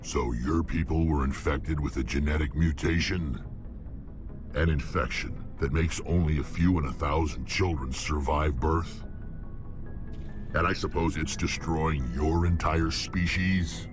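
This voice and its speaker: A man playing a huge, jaded old alien warrior in a video game, gravelly, curt, deadpan and sardonic. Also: a bass voice, measured pace, over music. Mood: bitter, indignant. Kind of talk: video game dialogue acting.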